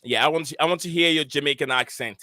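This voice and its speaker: A man's voice: on the high side, quick pace, sharp tone, no echo, studio quality. A man hosting a casual football live stream, hesitant and full of fillers as he reads out viewer comments. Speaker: host of a football podcast stream.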